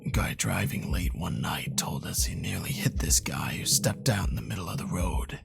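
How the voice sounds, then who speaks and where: Low gravelly burnt-out cop, sardonic and sweary, noir game cutscene, loud music behind. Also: male voice, moderate pace, whispered. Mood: weary, haunted. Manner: hard-boiled, sardonic, cursing. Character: bitter, world-weary male police detective, foul-mouthed and haunted.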